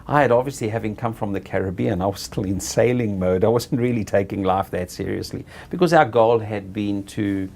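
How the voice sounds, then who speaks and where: A middle-aged South African man being interviewed, telling his own story in an unhurried, halting way and often correcting himself. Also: lowish voice, creaky, a little mellow. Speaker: interviewee, property director. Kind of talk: reflective personal storytelling.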